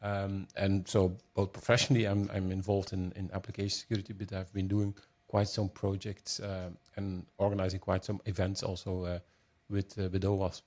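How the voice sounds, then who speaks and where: A Belgian man in his fifties with a Dutch accent, speaking in a fairly low voice. He talks in hesitant, halting bursts, restarting his phrases and leaning on fillers as he explains his work. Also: level tone, a little mellow. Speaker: guest, security consultant. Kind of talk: casual interview chat. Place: home, in the evening.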